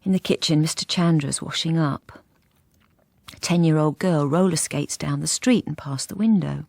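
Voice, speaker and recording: A woman's voice: low-pitched, moderate pace, creaky, no echo. An actress playing a wistful, lonely wife narrating her life in an intimate, reflective radio drama monologue. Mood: wistful, hushed. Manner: intimate, reflective, softly hesitant. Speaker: lead character and narrator.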